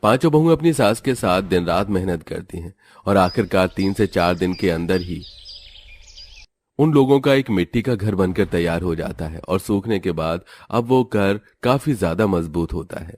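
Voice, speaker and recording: Low-voiced male story narrator. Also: expressive, moderate pace, a little mellow.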